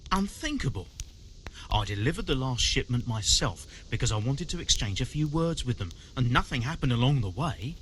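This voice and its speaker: An actor playing a proud, indignant medieval quarry master in a video game, blunt, grumbling and defensive. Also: male voice, mid-range voice, big pitch swings, moderate pace, fairly bright tone, slight background noise, low-quality recording. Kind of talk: video game dialogue acting.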